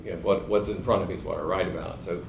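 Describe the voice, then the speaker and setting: A man from Georgia speaking as a panelist writer, unhurried and musing, with frequent ums and uhs. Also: deep voice, steady tone, creaky. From Georgia, USA. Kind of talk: reflective panel talk. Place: event room in a library. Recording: echoey room with background noise.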